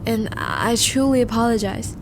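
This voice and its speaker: A woman playing a charming, once-noble vampire in a romantic audio roleplay, speaking formally and intimately to the listener, with a soft, hesitant manner. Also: on the high side, moderate pace, noisy background, natural voice. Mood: earnest, apologetic. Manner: formal, courteous, hesitant, soft-spoken confessions.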